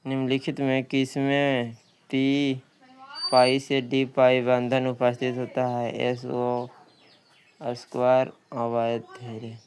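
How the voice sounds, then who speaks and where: A man's voice: medium pitch, monotone, slow. A man working through practice questions as a coaching teacher, reading each one out and repeating questions and answers.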